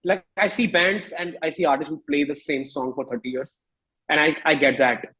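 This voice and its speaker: Reflective Indian-accented man, slightly husky. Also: fairly high pitch, pushed and bright, low-quality recording. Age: 30s. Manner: reflective, halting, rephrasing often. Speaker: musician interview guest. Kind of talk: candid conversational interview.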